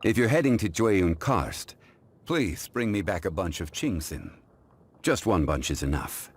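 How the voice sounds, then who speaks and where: A male actor playing a calm, dignified, ancient immortal man in a video game, speaking in a measured, formal, refined way. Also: low-pitched, slightly rough, full character voice. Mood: courteous. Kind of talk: scripted video game character voice lines.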